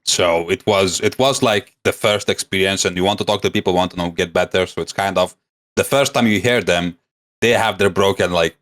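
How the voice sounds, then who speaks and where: Low-voiced man with a Lithuanian English accent. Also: fairly even intonation, brisk, fairly bright tone. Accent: Lithuanian English, mixed. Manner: rambling, many fillers and restarts. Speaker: guest, streamer. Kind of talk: casual podcast chat.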